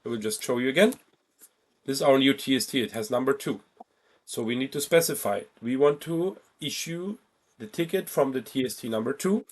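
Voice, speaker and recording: A man giving an informal step-by-step software tutorial as an instructor, halting at times and stressing key points by repeating them. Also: mid-range voice, expressive. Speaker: travel booking software instructor.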